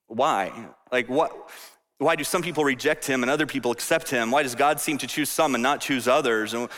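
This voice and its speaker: A man preaching in a conversational, animated way, tossing asides and questions at his listeners. His voice is bright and forceful and sits a little high. It rings with the long reverb of a large hall. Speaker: pastor. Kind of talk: sermon.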